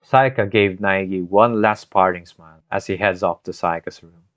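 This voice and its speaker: A man's voice: low voice, fairly even intonation, normal speed, no room sound. A man narrating a scripted video game story recap in a storytelling manner, recorded on a home microphone. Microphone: home microphone, scuffed in parts. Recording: dry, dull lo-fi home microphone.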